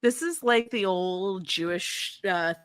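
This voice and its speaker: A woman's voice: medium pitch, slow, dry recording. A woman co-hosting a podcast, chatting casually and emphatically, often repeating words for stress. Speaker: podcast co-host. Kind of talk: casual chat.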